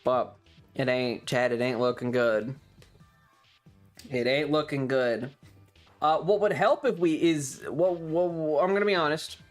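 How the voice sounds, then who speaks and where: A man's voice: a little high, fairly even intonation, measured pace, pauses often. Sweary, emphatic male streamer over music. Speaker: livestreamer. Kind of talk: casual chat, animated storytelling to stream viewers.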